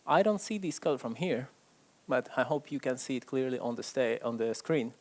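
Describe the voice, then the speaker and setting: A man's voice: medium pitch, moderate pace. Chatty man giving a talk. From Ukraine. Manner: chatty, self-deprecating, lively. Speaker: conference speaker. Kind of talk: tech conference talk. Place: stage before a live audience.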